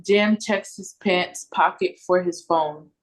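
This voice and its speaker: A woman's voice: on the low side, slow pace. A woman reading stage directions as narrator in a scripted read-through over a video call.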